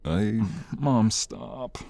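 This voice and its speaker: A man's voice: on the low side, expressive, extremely slow, vocal fry, mellow, dry recording, heavily acted. A man playing a devoted mobster and expectant father in a romantic audio roleplay, speaking tenderly with some stammering. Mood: embarrassed.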